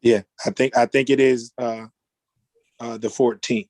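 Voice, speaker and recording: A man chairing a formal virtual meeting in a halting, courteous way. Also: mid-range voice, little pitch movement, darkish tone.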